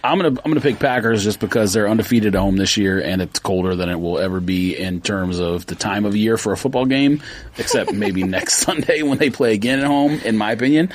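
A man's voice: on the low side, fairly quick. A man co-hosting a podcast, chatting casually about football picks, analytical and laughing often. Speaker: podcast co-host. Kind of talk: casual sports chat.

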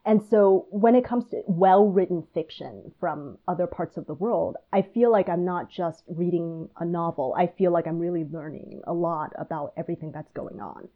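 A woman from Taiwan hosting a podcast, talking in a thoughtful, measured way. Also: lowish voice. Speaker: podcast host. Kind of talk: casual conversation. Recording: tinny phone-like line.

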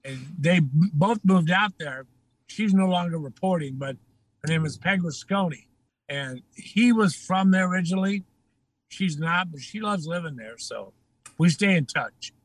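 Older Texan man, higher voice, laughing storyteller. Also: expressive, moderate pace, dry recording, poor audio. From Texas. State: slightly changed voice from breathing trouble. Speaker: guest, former TV news anchor. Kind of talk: casual interview.

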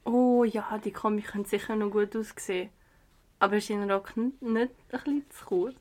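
A woman's voice: mid-range voice, steady tone, normal speed, mellow, natural voice. A woman with a Swiss German accent playing a self-conscious teenage girl in a youth radio play. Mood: nervous. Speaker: actor in an audio drama.

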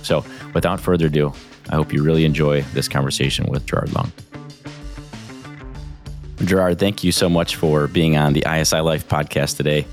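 A man's voice: a bass voice, fairly even intonation, moderate pace, soft background music. A man hosting a faith podcast, speaking earnestly with many fillers and restarts. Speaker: podcast host. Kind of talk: faith podcast intro and interview.

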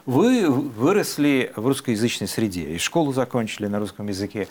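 A man hosting an interview, asking probing questions in a measured way with hesitant fillers. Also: mid-range voice, animated, a little mellow. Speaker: host, interviewer.

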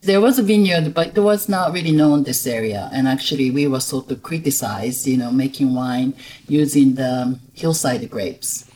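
A middle-aged woman from Japan speaking English, talking as a guest in a casual interview, soft-spoken and hesitant, with many fillers. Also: rumbling low, normal speed. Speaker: guest, winery owner.

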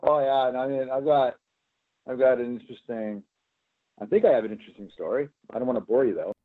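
A man's voice: mid-range voice, fast talker. A middle-aged man, an executive answering interview questions conversationally. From Canada, Alberta prairies. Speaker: interview guest, company executive. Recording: narrow, tinny phone-line sound.

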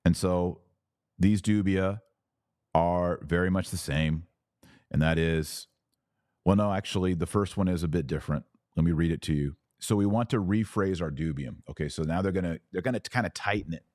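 Deep-voiced man doing podcast commentary. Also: moderate pace, darkish tone, no room sound, studio quality. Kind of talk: podcast commentary, reading documents aloud with informal asides.

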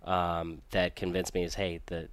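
A very low-voiced man recounting his past in an easygoing, rambling way, full of fillers. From Michigan and raised in Virginia, he sounds close and dry, with no room around him. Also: monotone, a little slow, a bit bright, clean tone, no room sound. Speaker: guest race car driver. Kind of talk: casual podcast interview.

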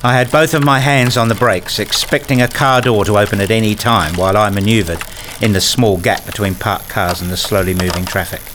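A man reading scripted first-person narration for a radio play, telling it in a measured, literary way. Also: fairly low pitch, fairly even intonation, a bit bright, a little hiss or hum.

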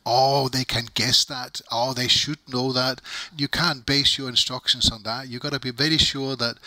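Bright, forceful middle-aged man being interviewed. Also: mid-range voice, no echo. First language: not English. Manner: emphatic, wordy, repeats phrases for emphasis. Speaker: interviewee, technical documentation expert. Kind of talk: conversational interview answers.

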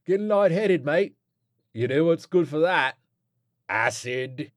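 Man as a cheeky, high-pitched carefree rocker. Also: expressive, slow, no room sound, studio quality. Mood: gleeful, teasing. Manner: matey, teasing, crowing. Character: cheeky, carefree male rock musician, drug-pushing party animal.

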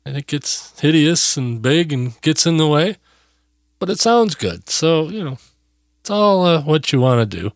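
A man hosting a podcast, giving casual equipment advice in a relaxed, rambling way full of fillers, recorded close on a boom mic in his home studio. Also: a little slow, dry recording. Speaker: podcast host. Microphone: close studio mic on a boom arm.

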